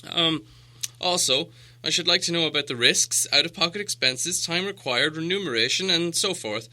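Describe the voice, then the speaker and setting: A fussy little hobbit gentleman played by a male reader, pitched well up and very bright. He is flustered and hesitant yet stubborn, putting on a prim business manner for the storybook. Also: normal speed. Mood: obstinate, businesslike. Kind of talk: audiobook character dialogue.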